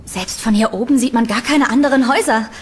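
High-voiced, polite young woman, acted. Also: fast, a little hiss or hum, natural voice. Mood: polite, impressed. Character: polite, curious young woman seeking a room. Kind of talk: audio drama acting. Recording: reverberant room.

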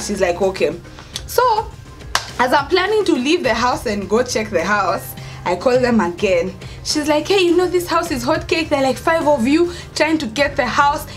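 A young woman with a Kenyan accent telling a story casually to camera, dramatic and acting out the dialogue as she goes. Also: sits high. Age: young adult. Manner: dramatic, acts out dialogue, code-switches into Swahili. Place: indoors by an open doorway at home.

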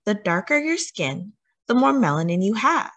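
A woman reading a children's picture book aloud as a story time host, with deliberate, teacherly emphasis and questions to young listeners. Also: mid-range voice, big pitch swings, fairly bright tone.

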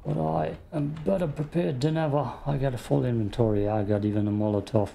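A man's voice: mid-range voice, steady tone, a little fast, creaky, mellow, a little hiss or hum. A man with an Austrian accent casually commenting on a horror game as he plays, muttering frustrated asides to himself. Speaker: gameplay streamer. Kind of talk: casual let's play commentary.

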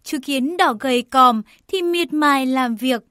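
Female storyteller for kids' tales, high voice, careful phrasing, slight noise and clicks on the recording. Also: measured pace, a bit bright. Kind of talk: scripted children's story narration.

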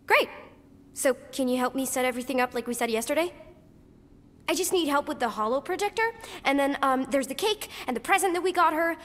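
An actress playing an insistent, emotionally intense young daughter in a video game, childlike and emphatic. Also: female voice, high voice, lively intonation, fairly quick, a bit bright. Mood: excited, bossy. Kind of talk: dramatic video game cutscene acting. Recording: long hall-like reverb.

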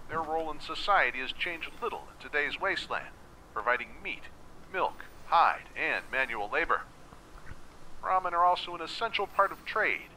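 A man voicing a folksy wasteland lore narrator in a video game, reading scripted encyclopedia entries in a measured storytelling manner. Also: a little high, lively intonation, sharp tone, slight background noise, poor audio.

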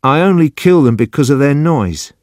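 A man's voice: a little high, animated, unhurried, no room sound, studio quality, voice pushed up. A man voicing a nervous, strange, defensive younger farmhand in an audiobook story. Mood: defensive, secretive.

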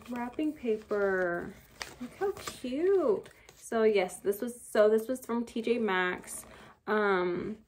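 A woman's voice: fairly high pitch, a little hiss or hum. Young female vlogger, casual haul chat, rambly filler-heavy delivery, desk camera in a home room. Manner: chatty and rambling, many fillers. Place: bedroom beauty room at home, indoors.

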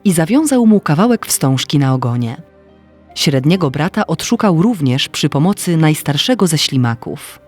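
A woman's voice: low voice, big pitch swings, moderate pace, darkish tone, soft background music. A woman reading a scripted fairy tale as narrator.

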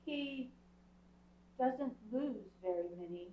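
A woman acting in radio theatre as a lovelorn, emotional household maid who speaks haltingly. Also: high voice, animated, background noise. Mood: guarded longing. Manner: halting, drawn-out. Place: theatre stage before an audience. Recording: echoey stage, dull sound.

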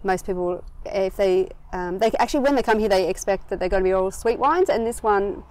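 She talks in an easy, unhurried way, with an Australian accent and plenty of ums, and laughs come easily. She is a woman in her forties, recorded out of doors on a garden verandah. Her voice sits at a middling pitch for a woman. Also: darkish tone. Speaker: winery owner and winemaker. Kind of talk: casual interview chat.

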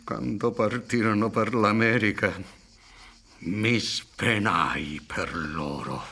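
A middle-aged man acting in a radio drama as an aggrieved, proud peasant son, deferential yet impassioned, with a husky voice. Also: lowish voice, takes it slowly, sharp tone, heavily acted. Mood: bitter, indignant. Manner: deferential, then impassioned and indignant. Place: theatre stage set up for radio recording. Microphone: stand microphone with headphones.

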